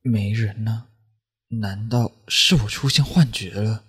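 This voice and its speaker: A man's voice: on the low side, slow, fairly bright tone, husky, exaggerated voice. A man voicing a grumpy young man turned into a cat, indignant and bewildered. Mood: puzzled.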